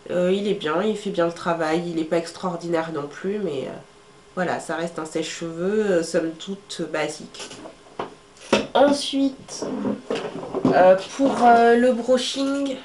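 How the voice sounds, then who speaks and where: A woman's voice: lowish voice, measured pace. A young woman vlogger, congested from a cold, chatting casually to camera with many fillers as she shows her hair tools. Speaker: beauty vlogger. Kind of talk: casual how-to talk to camera. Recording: echoey room with faint ambient noise.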